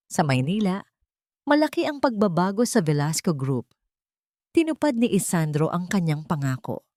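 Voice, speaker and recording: A woman's voice: on the low side, mellow, dry recording, studio quality. A woman reading a scripted romance story as a storyteller.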